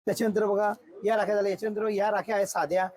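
A man's voice: sits high, level tone, racing, darkish tone. A male shopkeeper, eagerly listing products in an informal sales explanation inside a shop.

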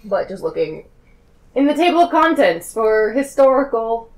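A woman's voice: fairly high pitch, very animated, moderate pace. A woman chatting casually and jokingly as a player in a tabletop role-playing game. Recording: echoey room.

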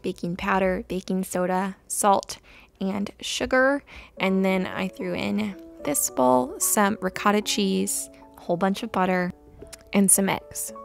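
Young female narrator, calm and gentle, slight fry, light music bed. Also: medium pitch. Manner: gentle, unhurried, with soft laughs. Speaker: homesteading vlogger. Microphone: voice-over recorded separately.

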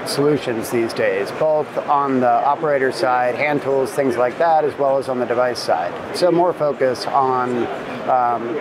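A man's voice: mid-range voice, measured pace. An older man of about sixty giving promotional interview talk as a company chief executive. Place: indoor trade show booth. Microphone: lapel mic. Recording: loud trade show background noise.

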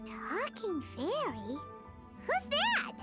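A tiny fairy sidekick with an extremely high, squeaky, childlike voice that bounces around in pitch. She is chirpy and blunt, a game companion heard over prominent music. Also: huge pitch swings, extremely slow, exaggerated voice. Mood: curious. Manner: chirpy, blunt, speaks of self in third person. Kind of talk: video game voice acting.

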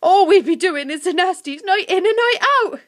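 A woman's voice: very high-pitched, normal speed, pitched above the performer's own voice. A woman voicing an eager, dim, nasty monster with broken creature grammar in a storybook. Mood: agreeing.

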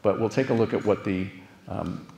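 A man's voice: fairly low pitch, moderate pace, creaky, warm tone, slight background noise. An older man with an American accent gives a conference keynote in a conversational, explanatory way, with frequent asides. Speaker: keynote speaker, television showrunner. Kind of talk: conference lecture to an audience. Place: large auditorium stage, indoors. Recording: echoey auditorium sound.

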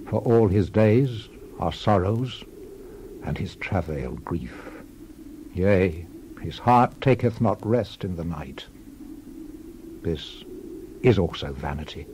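A man playing a world-weary, reflective old king in a solemn, measured dramatized scripture reading, deliberate and letting his phrases fall away. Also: on the low side, mellow, a little hiss or hum. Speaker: actor in a dramatized Bible reading.

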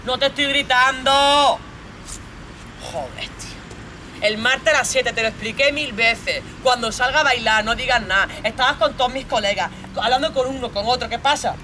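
A woman's voice: fairly high pitch, normal speed, sharp tone, slight background noise, poor audio. A woman voicing a jealous, bullying young boyfriend from a stage monologue, with a Spain accent, domineering and badgering with plenty of swearing. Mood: exasperated, bullying. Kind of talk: theatrical monologue role-play.

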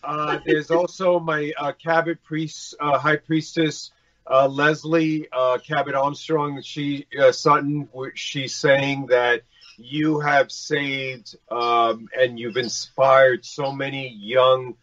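Unhurried Cuban American man, halting co-host with fillers; dull lo-fi stream sound. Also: mid-range voice, little pitch movement, a bit bright. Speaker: podcast co-host. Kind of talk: casual chat.

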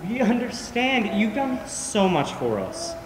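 A woman on stage playing a gentle, wry young patient who is ailing. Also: a little high, very animated, measured pace, background noise. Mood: reassuring. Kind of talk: amateur stage play acting. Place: large theatre stage, indoors. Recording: echoey theatre stage, music over voice.

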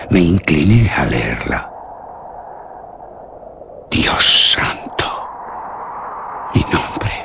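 A man acting an anguished dead man lost among tombs in a radio horror drama, halting and repeating words, with a Castilian Spanish accent. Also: rumbling low, vocal fry, full character voice. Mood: uneasy discovery, then stunned horror. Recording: noisy radio drama with ambient sound effects.

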